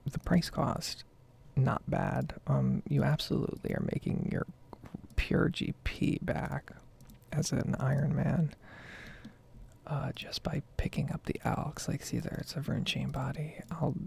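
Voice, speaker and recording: A woman speaking in a soft, breathy whisper, rambling casually in an ASMR style as she comments on her own gameplay. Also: a bass voice, measured pace. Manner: casual, meandering. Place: basement at home.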